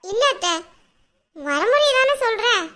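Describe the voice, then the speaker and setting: A woman's voice: extremely high pitch, sing-song, measured pace, pushed and bright, exaggerated voice. A woman playing a meek pleading daughter-in-law. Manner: pleading, respectful.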